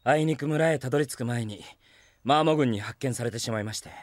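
A man playing an earnest, steady young knight in an anime audio drama. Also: mid-range voice, fast talker, no echo, clean studio sound, natural voice. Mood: dutiful.

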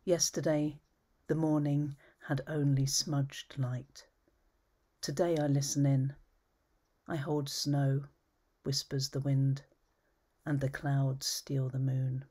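A woman reading her own poems at home, measured and thoughtful, often trailing into a whisper. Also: a bass voice, level tone, mellow, breathy edge. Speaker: poet. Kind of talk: poetry reading. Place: home study with bookshelves, indoors.

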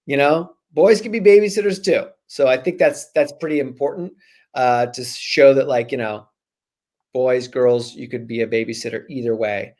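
A man in his forties chatting casually as a guest author on a video call, with a joking, slangy, animated manner. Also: medium pitch, normal speed. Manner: animated, joking, slangy, acts out imagined remarks. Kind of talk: casual chat interview. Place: small room at home, on a video call.

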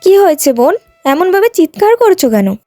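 A woman's voice: very high voice, sing-song, normal speed, warm tone, dry recording, faint music behind, voice pushed up. A woman voicing a kind, caring young sister-in-law in a cartoon folk tale.

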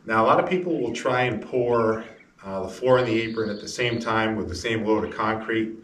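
A middle-aged man explaining a building project in casual, hesitant short phrases with frequent pauses. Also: on the low side, a bit bright. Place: pole barn interior and outdoors beside it. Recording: distant mic with faint ambient noise.

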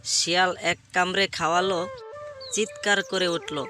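A man's voice: sits high, steady tone, unhurried, over music. A man narrating a scripted moral animal story as a storyteller.

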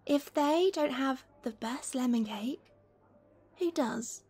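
A woman playing a playful, affectionate young best friend in a gentle, teasing ASMR roleplay. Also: high-pitched, slow pace, breathy edge, exaggerated voice. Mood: playful disbelief. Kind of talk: gentle ASMR roleplay, one-sided conversation.

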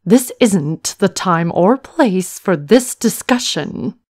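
Elegant middle-aged mother, very slow, expressive pitch, chiding, visual novel game. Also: no room sound, studio quality.

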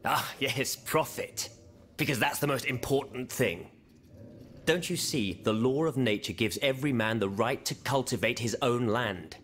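Casting: actor as stern revolutionary idealist, medium pitch, pointed formal rhetoric, game drama, faint ambient noise. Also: male voice, a little slow, close to an everyday voice. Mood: scornful. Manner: sharp rhetorical challenging, formal. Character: stern, accusing male French revolutionary idealist. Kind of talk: game cutscene voice acting, period drama.

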